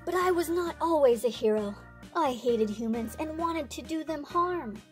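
A woman's voice: high voice, lively intonation, moderate pace, faint music behind. Woman reading as a bold storybook superhero bunny. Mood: earnest. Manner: declamatory read-aloud.

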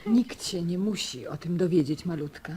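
An actress playing a solemn, protective aunt in a radio drama. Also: female voice, fairly low pitch, moderate pace, mellow, slight background noise, natural voice. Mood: soothing, cautioning. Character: protective, solemn aunt guarding the family secret. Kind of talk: Polish radio drama acting.

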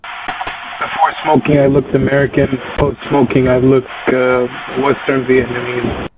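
Flat-voiced man on very noisy chat. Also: medium pitch, unhurried, narrow, tinny audio. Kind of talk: casual game chat. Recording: very noisy game chat, gunfire behind.

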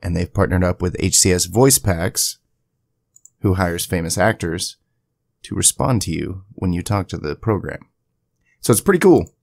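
Deep, gravelly man walking through software. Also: lively intonation, normal speed, long pauses, no echo. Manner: conversational, chatty asides. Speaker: tutorial video creator. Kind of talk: casual screen-share software tutorial. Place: indoors at a home PC, voice-over to screen capture.

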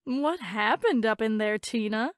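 A considerate, easygoing young woman from a sci-fi visual novel, acted by a woman. Her voice sits fairly high and sounds dry and close to the mic. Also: animated, measured pace, no room sound. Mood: concerned.

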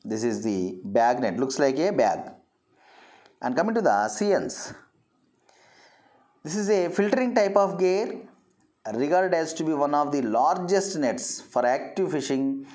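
Low-pitched woman teaching, unhurried. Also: lively intonation, mellow. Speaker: zoology teacher. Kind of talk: lecture explaining slides.

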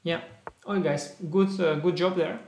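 Young man, Russian-tinged English accent, gravelly, thinking aloud in clipped phrases, echoey small room. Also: sits high, fairly even intonation, moderate pace, a little mellow, slight background noise. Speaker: chess streamer. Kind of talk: casual live-stream chat while solving puzzles.

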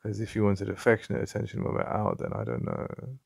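A man with an English accent playing a jealous, possessive yet vulnerable boyfriend in an intimate ASMR roleplay, halting and conversational with a gravelly voice. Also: fairly low pitch, huge pitch swings, darkish tone, dry recording, clean studio sound, exaggerated voice. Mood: annoyed, defensive. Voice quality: gravelly, creaky. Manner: halting, repeating himself, conversational. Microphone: close, dry studio-style mic.

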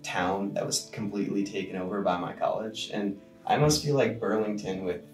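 Young guy, lowish voice, laid back rambling, roomy echo. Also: a little fast, faint music behind. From Connecticut, USA. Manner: relaxed, rambling with fillers. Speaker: podcast co-host. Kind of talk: casual chat. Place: conference room, indoors. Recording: roomy sound from a distant mic.

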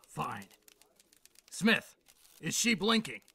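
A man playing an exasperated, short-tempered veteran police boss in a radio drama, barking and sarcastic with a gravelly, harsh voice. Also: a little high, big pitch swings, very slow, heavy background noise, full character voice. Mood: exasperated anger.

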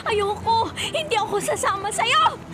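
A woman's voice: very high voice, animated, a little slow. A woman playing a frightened young student. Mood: desperate. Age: young adult. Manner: pleading, defiant. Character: frightened young female student being abducted. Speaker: radio drama actress. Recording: radio drama with music behind.

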